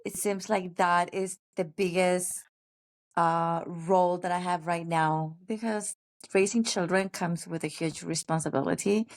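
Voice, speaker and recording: A woman's voice: on the low side, fairly even intonation. A middle-aged woman, raised in Paraguay with Spanish as her first language, talking earnestly and reflectively as a podcast guest. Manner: reflective, earnest, pauses with fillers. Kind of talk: casual conversational interview.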